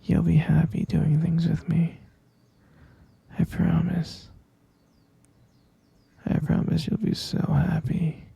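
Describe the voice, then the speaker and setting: A dark, whispered male voice, a man playing an obsessive yandere lover who holds his beloved captive. He coaxes slowly and insistently, tender and possessive, with a heavy gravelly creak. It is an intimate, close-up ASMR roleplay. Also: fairly high pitch, completely flat delivery, no room sound, exaggerated voice. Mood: soothing, possessive. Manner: coaxing, slow, cooing, insistent. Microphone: close-up microphone.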